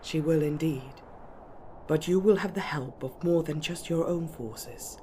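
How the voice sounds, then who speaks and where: Woman as a mellow, composed elven noblewoman. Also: sits low, slight background noise. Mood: encouraging. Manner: formal, measured, earnest counsel. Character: composed, strategic elven noblewoman rallying allies. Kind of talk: ASMR fantasy roleplay.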